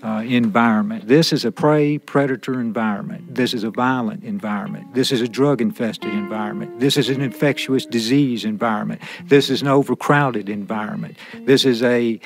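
Male interviewee, gravelly mid-range voice, deliberate listing delivery, faint music underneath. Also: medium pitch. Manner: deliberate, listing in repeated short statements. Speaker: prison writing student. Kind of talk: reflective interview answer. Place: room inside a prison. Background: soft music.

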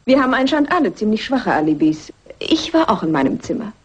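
Husky, composed lady suspect. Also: fairly high pitch, very animated, fast talker. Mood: guarded. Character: composed, reserved married lady, murder suspect. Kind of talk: German radio crime drama acting. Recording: dull, lo-fi radio play recording.

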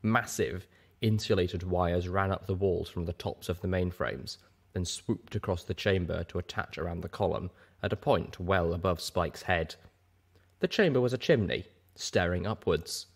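A man's voice: low voice, moderate pace, creaky. A man reading scripted first-person fiction as an audiobook narrator.

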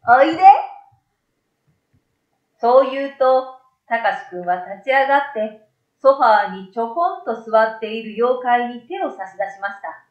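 A middle-aged woman reading a scripted story aloud as a storyteller. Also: fairly high pitch. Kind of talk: scripted story narration for children and adults. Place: small plain room, indoors. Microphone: desk microphone, off to the side. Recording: roomy echo, slightly dull sound.

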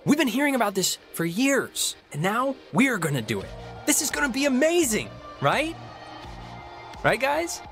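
An eager teenage boy with an American accent. He rambles on, upbeat and a little awkward, his pitch jumping around animatedly. Faint music sits behind him. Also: sing-song, normal speed, fairly bright tone, heavily acted. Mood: excited. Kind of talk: naturalistic video game acting.

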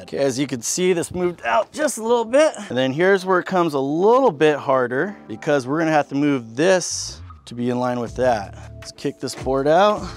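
A man in his thirties giving a casual, chatty DIY woodworking tutorial on an outdoor covered porch workshop, talking to viewers as guys. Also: on the high side. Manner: upbeat, chatty, says guys and man a lot. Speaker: fitness coach building gym gear.

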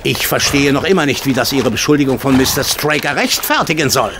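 An actor playing a proud, easily offended gentleman colonel in a German radio play, talking stiffly and formally. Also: mid-range voice, expressive, fairly quick, background noise, natural voice. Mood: puzzled. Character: proud, indignant gentleman colonel, horse owner. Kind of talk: German audio drama acting.

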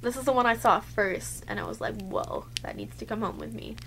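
A woman's voice: mid-range voice, wildly swooping pitch, a little fast, creaky, a bit bright, a little hiss or hum, poor audio. A young woman chatting casually to her webcam in her bedroom, a little sick and losing her voice, full of "like" fillers. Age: young adult. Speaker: beauty vlogger. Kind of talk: casual haul chat to camera. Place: cluttered bedroom, indoors.

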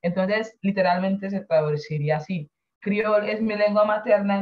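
A woman whose first language is San Andrés Creole, teaching an online class and slowly explaining and translating each word. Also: on the low side. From San Andrés Island, Colombia. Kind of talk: online class over a video call. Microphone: video call. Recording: dull lo-fi video call audio.

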